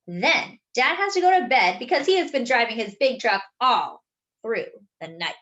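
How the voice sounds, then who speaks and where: A woman reads a picture book aloud in a bright, fairly high voice. Her delivery is animated and very varied in pitch, shaped for young children. She narrates as a storyteller, expressively and at an unhurried pace. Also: muffled recording. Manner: animated, pausing to explain words.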